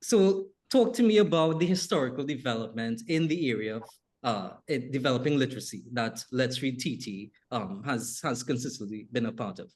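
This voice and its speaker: Husky Trinidadian man hosting a talk show. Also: medium pitch, lively intonation, a little mellow, faint music behind. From Trinidad and Tobago. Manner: measured, reads a prepared essay. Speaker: podcast host. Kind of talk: scripted opinion monologue, then interview.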